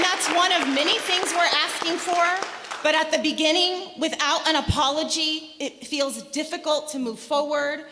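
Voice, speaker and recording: A woman assistant professor giving an earnest public comment at a campus forum, pausing with fillers. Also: sits high. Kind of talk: public comment at a town hall. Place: large indoor hall at a public forum. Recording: large hall with crowd noise.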